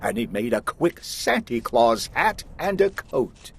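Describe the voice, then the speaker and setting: A man's voice: mid-range voice, sing-song, measured pace, gravelly, a bit bright, slightly rough. A man narrating a children's story aloud in a theatrical, dramatic style, stretching words for emphasis.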